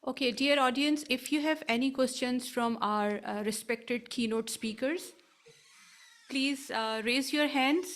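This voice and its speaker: A woman with a fairly high voice hosts an academic conference. She reads a speaker's introduction and then politely opens the floor to questions, keeping a formal tone throughout. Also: unhurried, a bit bright. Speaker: conference host.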